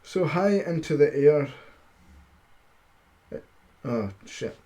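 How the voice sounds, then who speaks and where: Slow, mellow man reading stories aloud. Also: a little high, animated. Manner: halting, self-deprecating. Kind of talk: amateur live storytelling with casual asides.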